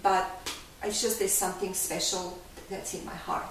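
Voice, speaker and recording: A woman's voice: fairly low pitch, flat delivery, normal speed, fairly bright tone. An older woman with a Hungarian accent, speaking earnestly as a life coach guest in an interview. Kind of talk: candid interview. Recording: echoey room, mic far off, faint hiss.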